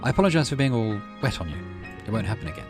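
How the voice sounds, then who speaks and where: A man's voice: lowish voice, big pitch swings, racing, music in the background. A man voicing an earnest, troubled young female scientist in a sci-fi game, speaking precisely. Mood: embarrassed.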